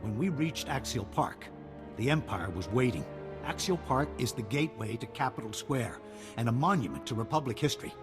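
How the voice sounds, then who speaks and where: Male actor as husky determined general, urgent orders, video game, long reverb, loud music. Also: medium pitch, animated, brisk, natural voice. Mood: grave, urgent. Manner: commanding, urgent, terse. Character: grim, determined male army general in wartime.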